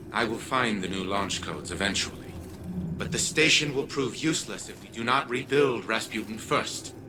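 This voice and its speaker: A man's voice: fairly low pitch, noisy background, lightly acted. A man voicing a haughty old machine scientist. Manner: haughty, clipped, disdainful. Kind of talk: video game cutscene dialogue.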